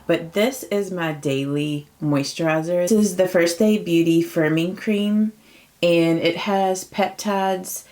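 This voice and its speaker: Late-30s woman vlogging her makeup, a bit raspy from just waking up, lower voice, chatty and upbeat. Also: slow, darkish tone. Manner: chatty, upbeat, lots of fillers. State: slightly raspy morning voice. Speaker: beauty vlogger. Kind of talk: casual get-ready-with-me chat.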